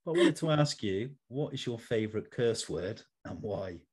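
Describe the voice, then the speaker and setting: Low-voiced male interview host. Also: expressive, moderate pace, breathy edge. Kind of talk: scripted interview questions with casual chat.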